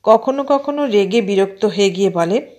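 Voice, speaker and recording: A woman's voice: medium pitch, expressive, moderate pace, darkish tone. A woman reading a literary short story aloud as an audiobook narrator.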